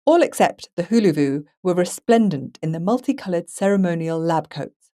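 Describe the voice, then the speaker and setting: Female podcast host, lower register, enthusiastic, conversational delivery. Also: animated, normal speed, warm tone. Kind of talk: casual discussion with passages read aloud.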